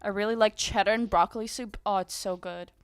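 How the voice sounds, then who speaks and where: A woman's voice: medium pitch, steady tone, brisk, clear, resonant tone, no echo, faint music behind. A young woman streaming from home, chatting casually with viewers in a bubbly, chatty way. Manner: bubbly and chatty, many "like"s and giggles. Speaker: VTuber streamer.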